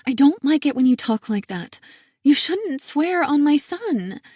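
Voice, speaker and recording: A woman voicing a worried, caring adult sister who speaks softly and pleads with earnest concern in an audiobook. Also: high-pitched, very animated, unhurried, no echo, studio quality, pitched above the performer's own voice. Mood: pleading. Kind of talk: audiobook character reading.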